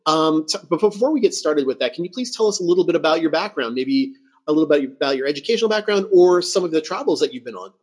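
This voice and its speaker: Upbeat husky podcast host, man. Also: a little high, lively intonation, fast, darkish tone. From California. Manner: upbeat, laughs easily. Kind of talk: casual interview.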